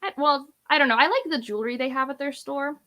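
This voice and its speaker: Chatty woman, bright clear-cut tone, rambles on casually like shes with friends. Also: sits high, lively intonation, fast. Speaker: book reviewer, read-along co-host. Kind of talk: casual chat.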